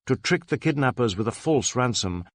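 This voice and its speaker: A male narrator reads detective fiction in a scripted, dramatic storytelling style. His pitch is mid-range and moves expressively, and the sound is dry and close. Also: animated, moderate pace, no room sound. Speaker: audiobook narrator.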